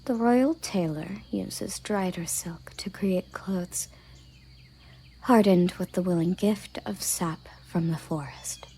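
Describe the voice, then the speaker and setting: A haughty female elven captain of the guard, acted by a woman for an audio roleplay. Her voice is breathy, mellow and on the low side. She speaks slowly and curtly, giving commands with cool condescension. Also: slight background noise. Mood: annoyed, commanding. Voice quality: husky, breathy, soft low voice. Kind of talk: audio roleplay addressed to the listener.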